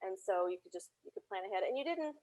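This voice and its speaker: A woman from Tennessee speaking as an interview guest, telling anecdotes in a chatty, conversational and animated way. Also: medium pitch, fast talker, highs cut off. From Tennessee, United States. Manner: chatty, animated anecdotes with laughter and reenacted dialogue. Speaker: interview guest, audio drama creator.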